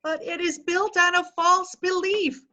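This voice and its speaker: A woman reading the part of a sharp-tongued elderly society lady who questions everything in a teasing, incredulous way. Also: extremely high pitch, fairly even intonation, measured pace, heavily acted. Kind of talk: readers theatre reading.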